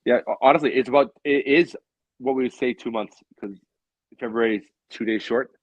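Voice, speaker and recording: A man's voice: medium pitch, a little fast, no room sound, lo-fi sound. A man in his late thirties chatting casually as a show co-host, in a rambling way that repeats phrases for emphasis. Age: late 30s. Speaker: co-host of a beer hangout show. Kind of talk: casual chat.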